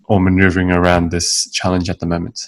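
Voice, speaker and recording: Deep-voiced man hosting. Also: completely flat delivery, fast. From Australia. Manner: earnest, long-winded questions. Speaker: podcast host. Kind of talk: interview questions.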